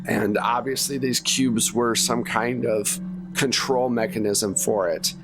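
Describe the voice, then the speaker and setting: A man running a tabletop horror game, improvising the narration in short phrases with pauses between them. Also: mid-range voice, fairly even intonation. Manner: pausing, piecing out sentences in short phrases. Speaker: game master of a tabletop actual play. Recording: music playing under the voice. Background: music.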